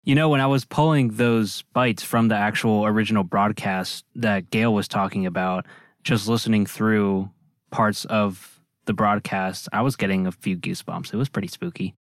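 Male podcaster, creaky lowish voice, casual chat, dry studio sound. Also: steady tone, moderate pace, dry recording. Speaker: podcast team member.

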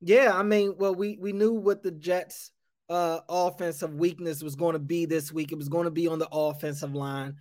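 A man who hosts sports radio and covers a team as a reporter, breaking down a game in casual, measured analysis and building his points with restarts. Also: fairly high pitch, flat delivery, no echo, clean studio sound.

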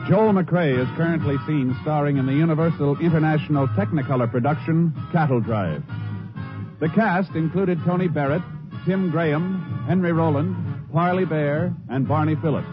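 A man's voice: on the high side, moderate pace. A man giving scripted announcements in a formal 1950s radio style. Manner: formal, declarative. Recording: dull vintage radio broadcast with music.

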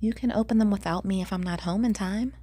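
A woman's voice: medium pitch, fast talker, dark tone, slightly rough, pitched above the performer's own voice, close to an everyday voice. A woman voicing a determined young female student journalist in an audiobook, pointed and with restrained exasperation. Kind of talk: audiobook character voice.

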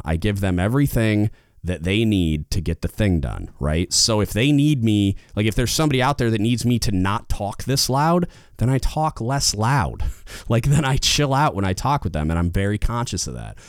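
A man with a loud, booming voice giving casual leadership advice as a podcast host, getting louder when fired up. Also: very animated, normal speed, no room sound, clean studio sound. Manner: gets louder when fired up, rambling restarts.

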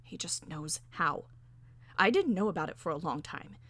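Vengeful woman in a storybook tale, acted by a female narrator: low, hushed, breathy, grimly insistent. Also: animated, moderate pace, no echo. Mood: grim insistence. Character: exasperated, vengeful woman, grimly set on killing.

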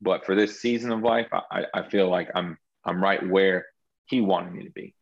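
A man's voice: low voice, steady tone, moderate pace, lo-fi sound. A man sharing his faith story as a guest in an interview, earnest and reflective, often restarting his sentences. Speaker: guest entrepreneur.

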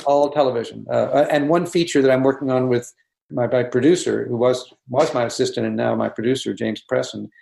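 A man, a guest director on a video call, talking casually, halting and repeating words with many fillers. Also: mid-range voice, fairly even intonation, vocal fry, a little mellow, roomy sound, low-quality recording.

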